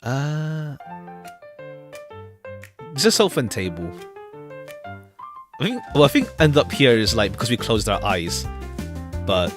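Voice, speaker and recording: A man's voice: medium pitch, very animated, a little slow, pauses often. A man streaming a game, chatting casually and reading dialogue aloud in a rambling, chatty manner. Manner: rambling, chatty, repeats himself. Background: background music.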